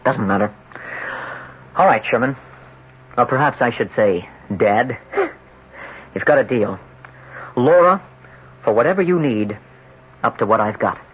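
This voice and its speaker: An actor playing a troubled young man who tells his dark story from a hospital bed, with a weary, brooding delivery in 1970s radio drama style, on a dull vintage broadcast recording. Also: mid-range voice, animated, measured pace, pauses often, husky. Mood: guarded. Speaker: lead character, radio drama.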